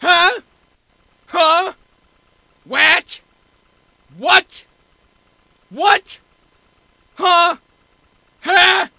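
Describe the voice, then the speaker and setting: A man doing amateur voice acting in an excitable, youthful style. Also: very high-pitched, drawn-out words, creaky, pauses often, no room sound. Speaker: amateur fandub voice actor.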